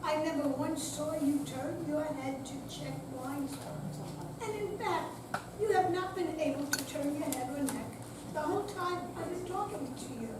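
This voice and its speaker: A woman plays a stern female police officer with a high voice and a deliberate, official manner. It is a live staged reading in a very echoey room. The mic is distant and the noise is clear. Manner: formal, firm, deliberate. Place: senior center hall, live performance.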